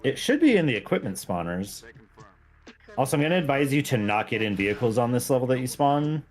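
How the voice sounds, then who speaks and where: A man's voice: medium pitch, sing-song, fairly quick. A man chatting casually as he plays a game, explaining things in a self-deprecating way. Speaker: game mod creator, guest. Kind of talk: casual chat while playing a game. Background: background music.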